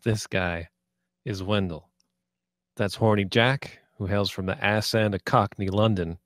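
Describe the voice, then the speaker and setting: A man's voice: lowish voice, steady tone, a little slow, dry recording, studio quality, natural voice. A man playing a crude, domineering gambler who runs a poker table, curt and commanding, in a script table read. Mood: mocking, commanding.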